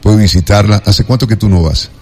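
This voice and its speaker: A man with an Ecuadorian accent hosting a casual talk show, effusive and digressive, often halting and repeating himself as he chats. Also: fairly low pitch, fairly even intonation, a little mellow. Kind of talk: casual rambling monologue and interview chat.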